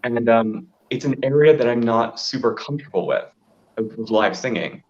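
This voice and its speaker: A man with a deep voice telling stories casually, as an interview guest who performs in drag. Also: normal speed, a little mellow. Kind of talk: casual interview chat, storytelling. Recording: dull, lo-fi audio.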